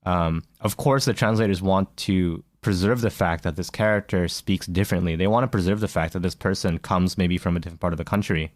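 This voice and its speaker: Deep-voiced man thinking aloud. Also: brisk, hardly any pauses, no echo, studio quality. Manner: thinks aloud with many fillers and self-corrections. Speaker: podcast co-host. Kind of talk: casual chat.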